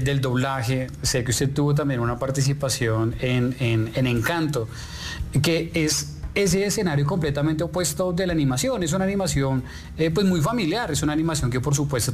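A man interviewing a guest, asking long questions with hesitant restarts. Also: a little high. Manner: hesitant, many fillers and restarts.

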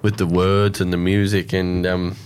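An Australian man hosting a radio show, chatting in a relaxed, storytelling way with plenty of fillers. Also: low-pitched, moderate pace, clean tone. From Alice Springs, Australia. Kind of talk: casual radio chat.

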